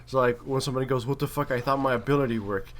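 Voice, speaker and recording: A man of ordinary pitch gives casual commentary over gameplay. He is opinionated and slangy, swearing freely. There is faint background noise on the recording. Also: very fast.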